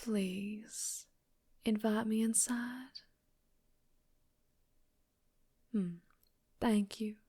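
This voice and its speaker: A woman's voice: medium pitch, fairly even intonation, drawn-out words, dark tone, slightly rough. A woman playing a fierce, flirtatious vampire biker with a Southern US accent, teasing and protective in an intimate ASMR roleplay. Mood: sassy, flirty.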